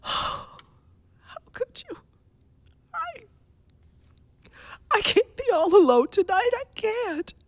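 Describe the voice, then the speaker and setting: An anxious, self-pitying invalid wife in a radio thriller. Her high voice swings widely and lingers slowly over words, demanding and dramatic. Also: sing-song, no echo, exaggerated voice. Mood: frantic despair. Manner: breathy gasps, demanding, dramatic.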